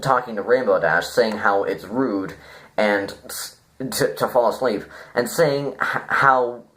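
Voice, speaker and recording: A man giving a casual, unscripted review, halting and rambling as he thinks aloud. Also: on the low side, a bit bright, low-quality recording. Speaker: online story reviewer.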